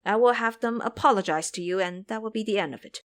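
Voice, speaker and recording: A woman voicing a gentle, pious male master monk who pleads earnestly. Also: medium pitch, quick pace, natural voice. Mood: pleading.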